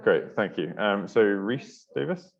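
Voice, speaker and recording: A man's voice: fairly low pitch, expressive, measured pace, gravelly. A native English-speaking man moderating an online workshop, hesitant and apologetic with many fillers. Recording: dull lo-fi call audio.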